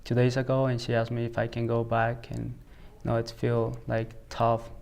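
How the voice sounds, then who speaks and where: A teenage boy from El Salvador with a Salvadoran Spanish accent, a high school student answering interview questions haltingly, filling his speech with "like" and "you know". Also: monotone, gravelly, a little mellow, clear, resonant tone. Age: teens. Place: school, indoors.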